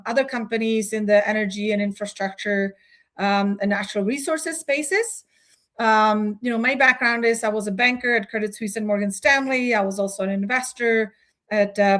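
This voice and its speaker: Guest CEO, a woman from the Czech Republic in her forties: thoughtful, halting delivery, middle pitch. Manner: thoughtful, many fillers and restarts. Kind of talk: conversational business interview.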